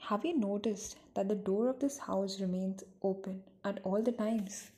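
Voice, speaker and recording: Actress as worried niece, very soft dark tone, grave and earnest, radio play. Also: female voice, medium pitch, moderate pace, lightly acted. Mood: troubled. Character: Roma, worried, secretive niece burdened by sad truth. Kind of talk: amateur radio drama acting.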